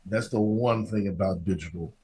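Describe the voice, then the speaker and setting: A man's voice: sits low, moderate pace, warm tone, rough recording. A man hosting a podcast in casual conversation, emphatic and given to repeating his phrases, with some background noise behind him. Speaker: podcast host. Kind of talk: casual interview chat.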